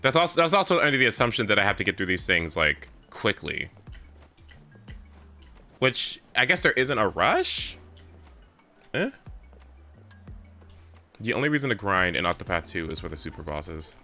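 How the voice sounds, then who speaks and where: A man's voice: fairly low pitch, sing-song, normal speed, long pauses, sharp tone. A young man chatting casually and rambling on a video game livestream. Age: young adult. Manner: rambling, informal. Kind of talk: casual live stream chat. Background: game music.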